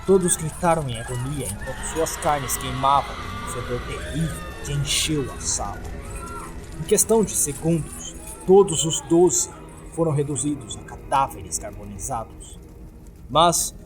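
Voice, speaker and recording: A man with a Brazilian accent narrating a scripted horror story. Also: medium pitch.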